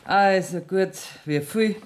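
A woman's voice: medium pitch, slow, a little hiss or hum, natural voice. Brazen, bossy Bavarian woman, played by an actress. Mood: haughty, insistent. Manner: bossy, imperious. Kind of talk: 1970s German radio play acting.

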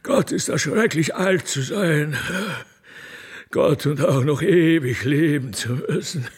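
A man's voice: a little high, slightly rough. A man voicing a decrepit, ancient God the Father, forgetful and given to wailing and blustering, in a theatrical radio play. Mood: lamenting, self-pitying. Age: very old. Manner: drawn-out, lamenting, blustering.

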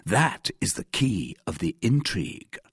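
A man reading an audiobook and voicing a shrewd, quick-witted young male musketeer who speaks boldly and commandingly. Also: lowish voice, big pitch swings, husky, no room sound, studio quality, full character voice. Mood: earnest, persuasive. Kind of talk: audiobook character acting.

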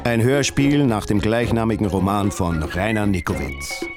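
A man's voice: lowish voice, moderate pace, over music. A man reading scripted radio drama credits as an announcer.

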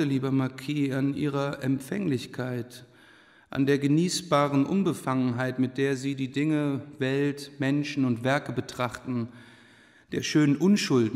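A man's voice: medium pitch, steady tone, unhurried, a little mellow, lightly acted. A male actor plays a king in his thirties for a literary radio play. He has a mid-range voice and a courtly, genial manner with small hesitations and a wistful streak. A long hall reverb surrounds him. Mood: wistful, reflective.

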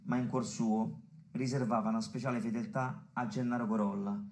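An actor playing a colloquial storyteller with a Roman accent, narrating a bawdy tragicomic tale in theatrical style for a radio play. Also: male voice, medium pitch, level tone, normal speed, a little mellow, close to an everyday voice. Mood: storytelling. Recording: roomy distant voice over clear music. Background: music.

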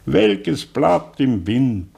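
An actor in a German radio play playing a haunted, self-dramatising man confessing his past, creaky-voiced and theatrical, with drawn-out phrases and sudden rushes. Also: mid-range voice, big pitch swings, dark tone, full character voice. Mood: agitated confession.

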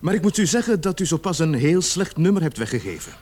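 Stern police interrogator, methodical, pressing, accusatory, widely varied intonation, radio play. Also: male voice, fairly high pitch, very animated, fast talker, darkish tone. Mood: stern, accusing. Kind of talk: radio drama acting.

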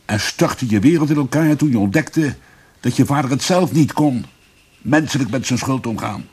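An actor playing a grave, probing police investigator in a radio drama, speaking in a measured, deliberate way. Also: male voice, mid-range voice, darkish tone, breathy edge, lightly acted.

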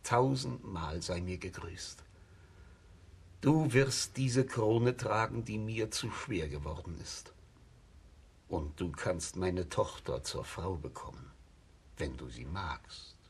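A man's voice: low-pitched, expressive, slow, breathy edge, exaggerated voice. A man acting an old, blind, weary tsar, solemn and fatherly, in a stately children's radio drama manner. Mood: moved.

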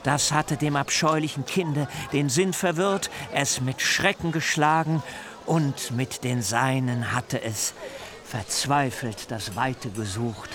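A man's voice: medium pitch, crowd noise, close to an everyday voice. An actor playing a boastful, plaintive male wanderer who tells of his misfortunes in a theatrical, declamatory way for a radio drama. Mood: grandiose, dramatic storytelling. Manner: theatrical, declamatory, drawn-out lamenting. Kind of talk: literary radio drama acting.

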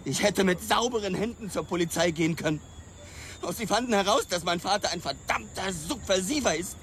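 A man's voice: high voice, a little fast, fairly bright tone, slight background noise. An actor playing a bitter young policeman who speaks reproachfully, as a son to his father, in a radio drama. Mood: bitter, accusing. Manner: reproachful, accusing.

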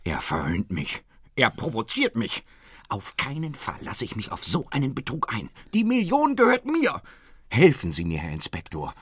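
Radio drama: indignant father, middle-aged, excitable, very wide swings in pitch, rough-edged voice. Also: normal speed, heavily acted. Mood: outraged. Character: indignant middle-aged French father, robbed lottery winner.

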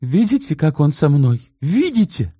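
A man voicing a tearful, scheming wife with a shrill, pleading voice. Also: very animated, a little slow, mellow, slightly rough, dry recording, studio quality, full character voice.

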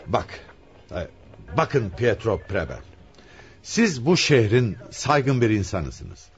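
An actor playing a stern, hard-pressed police commissioner in a radio play, speaking in an insistent, commanding way. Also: male voice, fairly low pitch, sing-song, takes it slowly, soft background music, exaggerated voice. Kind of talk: radio theatre acting.

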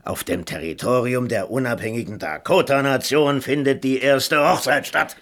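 An actor playing an excitable old Dakota grandfather who exclaims and urges in a German radio play. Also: mid-range voice, moderate pace, natural voice. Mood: confident, knowing. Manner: animated, exclaiming.

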